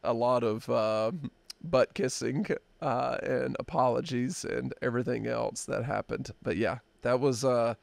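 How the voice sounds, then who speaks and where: Man in his fifties, gravelly voice, halting storyteller, dry close podcast mic. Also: mid-range voice, steady tone, dry recording. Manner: halting, drawn-out, many fillers and restarts. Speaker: podcast host. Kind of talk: casual storytelling chat. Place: dark podcast studio. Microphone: desk podcast mic.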